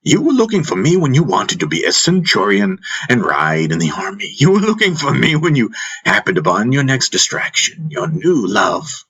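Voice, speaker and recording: A man voicing a wise spiritual master in an audiobook, teasing and patient, teaching through probing questions in a husky voice. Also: lowish voice, wildly swooping pitch, moderate pace, fairly bright tone, exaggerated voice. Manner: instructive, rhetorical questioning with prompting hums. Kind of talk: audiobook character dialogue.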